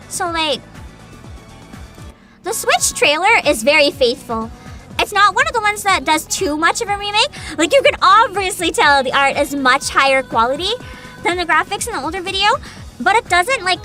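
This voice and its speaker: High, bright, animated woman streamer. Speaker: livestream host. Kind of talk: casual livestream chat, giving opinions to viewers.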